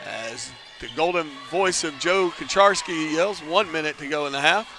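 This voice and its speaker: A man calling a basketball game live from an indoor arena, chatty, making joking asides about himself and rising to near shouts on big plays. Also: a little high, moderate pace. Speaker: play-by-play commentator.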